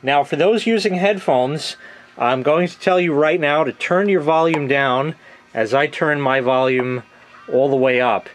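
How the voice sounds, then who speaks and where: A man's voice: a little high, unhurried. A middle-aged American man giving a casual hands-on product review at home, plain-spoken and offhand, into a handheld camera's mic. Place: small room at home, laundry area. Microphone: handheld camera mic, close behind the camera.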